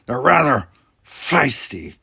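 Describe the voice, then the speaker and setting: A man voicing a gruff, suspicious alien pirate henchman in a sci-fi storybook, barking orders. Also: medium pitch, big pitch swings, takes it slowly, pushed and bright, pitched above the performer's own voice, full character voice. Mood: suspicious, doubtful.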